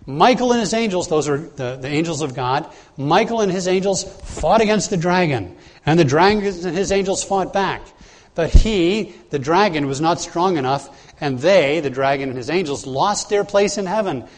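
A man's voice: fairly high pitch, lively intonation, moderate pace. A man preaching an expository sermon, explaining scripture verse by verse in a plain teaching tone with frequent "uh"s and restarts. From Bahamas.

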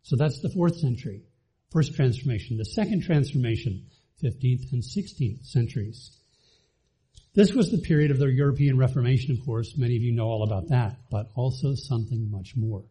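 A man from the Bahamas teaches a congregation in an easy, conversational way. His voice is very dark and mellow with a husky edge, and he often turns to ask the room questions. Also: medium pitch, lively intonation, brisk. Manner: conversational, asks the audience questions. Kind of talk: church teaching talk to a live congregation.

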